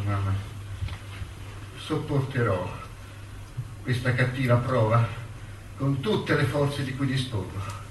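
Radio drama: wry, dignified male prisoner-intellectual, measured delivery, bright tone, echoey, slightly noisy recording. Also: medium pitch. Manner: measured, deliberate, with weighty pauses. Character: jailed intellectual man, dignified, wry, quietly defiant. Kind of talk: stage-style radio drama, letter and courtroom dialogue. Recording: roomy, distant, noisy theatre-like recording.

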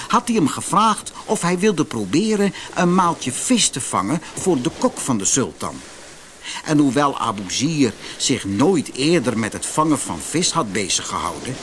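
Animated male fairytale narrator. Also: on the high side. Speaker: audiobook narrator. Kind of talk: expressive scripted fairytale narration.

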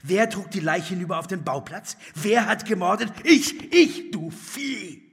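Menacing male brute, radio drama: very raspy, highish voice, bullying, long reverb. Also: expressive, measured pace, full character voice. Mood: raging. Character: brutal, menacing male criminal, bullying and defiant. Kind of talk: German radio play acting.

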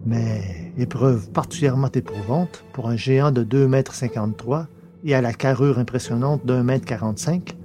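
A man reading scripted science fiction narration in a measured, literary way. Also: mid-range voice. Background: music.

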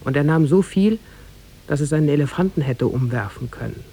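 A man narrating a tale as a formal, measured storyteller in 1950s German radio style. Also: a little high, expressive, dark tone, husky.

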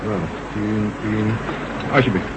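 An actor playing a blunt, chummy, incurious mechanic in a Dutch radio drama. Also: male voice, fairly low pitch, steady tone, slow pace. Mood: gruff, indifferent. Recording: noisy old radio recording.

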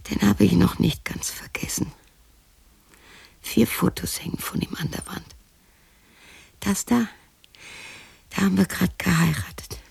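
A woman's voice: mid-range voice, vocal fry, darkish tone, breathy edge, heavily acted. An actress playing a lonely, cantankerous widow in her sixties in a radio drama monologue, muttering to herself and snapping. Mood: wistful. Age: 60s. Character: lonely, cantankerous sixty-year-old German widow talking to herself.

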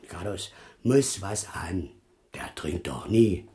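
A man's voice: low voice, animated, slow pace, warm tone. An actor playing an evasive, frightened circus man making a defensive confession in radio drama style. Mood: anxious, agitated. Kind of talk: 1980s German radio drama acting.

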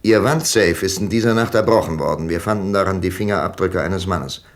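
An actor playing a stern, upright police commissioner, brisk and probing, in a radio play. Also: male voice, low-pitched. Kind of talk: German radio play dialogue.

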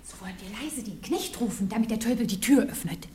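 A woman's voice: mid-range voice, fast talker, warm tone, a little hiss or hum, natural voice. A woman playing a pert, conspiring maidservant loyal to her mistress in a classic German radio comedy, with theatrical delivery.

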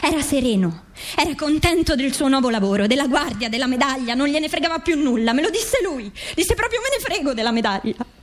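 Grieving mom in a radio play, highish voice, insistent and indignant, wants the truth. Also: female voice, animated, a little fast. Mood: defensive. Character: grieving, resolute mother seeking truth about dead son. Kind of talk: radio drama dialogue.

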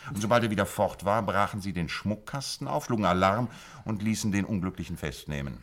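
A deep cool detective. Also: male voice, fairly quick, fairly bright tone. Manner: cool, curt, questioning. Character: calm, probing detective questioning a thief. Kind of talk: 1950s radio drama acting.

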